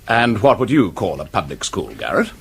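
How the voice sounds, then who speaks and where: This is an actor as a breezy public-school type in a period office drama. His voice is a little husky and very animated, with a condescending, superior air. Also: fairly low pitch, moderate pace, full character voice. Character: clubbable British male copywriter, public-school type, tactless and superior. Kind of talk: British period radio drama acting.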